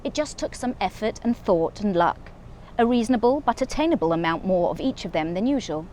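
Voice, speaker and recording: A woman librarian reading a short story aloud from home in a calm, low-key way. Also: fairly quick, a little hiss or hum.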